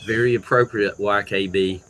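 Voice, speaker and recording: Man, livestream host, casual chat, low flat voice, faint insect noise behind. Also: poor audio. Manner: slow, drawn-out, addresses a viewer by initials.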